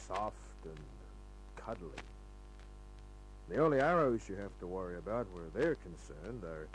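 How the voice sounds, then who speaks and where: An actor playing a worldly, knowing man with a deliberate delivery in an old-time radio drama. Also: on the low side, low-quality recording. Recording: old radio recording, faint hum.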